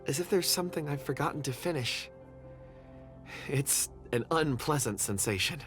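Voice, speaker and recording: A man's voice: on the high side, animated, moderate pace, fairly bright tone. An actor playing a forgetful, anxious wandering man in a game, hesitant and stammering. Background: game music.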